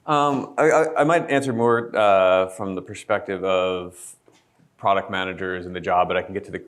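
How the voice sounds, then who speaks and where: A man speaking thoughtfully as a panelist, measured and reflective. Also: sits low, fairly even intonation. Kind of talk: panel discussion.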